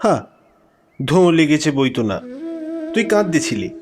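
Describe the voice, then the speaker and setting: A man voicing a high-pitched, insistent village boy. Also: very animated, measured pace. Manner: insistent, questioning, rustic village speech. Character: clingy, doubting fifteen-year-old poor village boy. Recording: music behind the voice.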